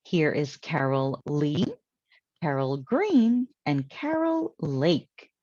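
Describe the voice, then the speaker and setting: A woman's voice: low-pitched, big pitch swings, drawn-out words, warm tone, dull audio, full character voice. A woman voicing a cheerful, naive, literal-minded housekeeper from a children's storybook, earnest and exclamatory. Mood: excited.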